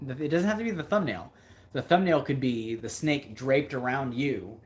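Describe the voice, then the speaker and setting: A male fishing creator talks casually to his livestream viewers in a husky, unpolished voice at a middle pitch. He rambles as he thinks things through out loud, and he often repeats a phrase to drive it home. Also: moderate pace. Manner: rambling, thinking aloud, emphatic repetition. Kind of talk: casual livestream chat answering viewer comments.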